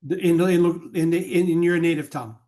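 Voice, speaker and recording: A man's voice: on the high side, fairly even intonation, normal speed, warm tone. A man, a spiritual counselor, speaking on a video call and asking questions with many repeated words. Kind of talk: casual talk on a video call.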